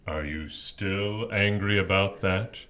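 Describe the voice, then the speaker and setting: A man's voice: low voice, slow, sharp tone, full character voice. An actor playing a sly devil in an audio drama, nonchalant and mocking as he needles. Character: sly, needling devil, Dark Lord of the Damned.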